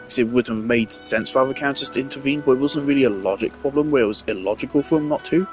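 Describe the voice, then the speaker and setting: A man giving loose, rambling commentary while playing a game. His voice sits at a middling pitch, blunt and prone to swearing. Faint game music runs underneath. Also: racing. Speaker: gaming streamer. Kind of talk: casual gameplay commentary.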